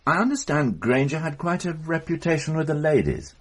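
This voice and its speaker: An actor playing a shrewd police inspector who asks measured, probing questions in a radio drama. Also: male voice, fairly high pitch, sing-song, slightly rough.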